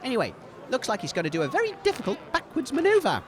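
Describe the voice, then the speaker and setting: A man's voice: very high voice, huge pitch swings, quick pace, exaggerated voice. Guy doing a chatty radio commentator, voice all over the place, comedy show, crowd behind. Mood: excited. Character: excitable, chatty male radio sports commentator in wasteland. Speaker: radio co-host. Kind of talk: live commentary in comedy audio drama. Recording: outdoor event with crowd behind.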